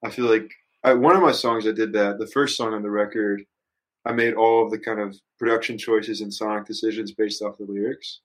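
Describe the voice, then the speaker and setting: A man in his early twenties with a fairly low voice talks as a musician being interviewed. He is casual and reflective, wandering through his thoughts in youthful slang. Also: steady tone, a little fast, rough recording. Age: early 20s. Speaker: musician guest.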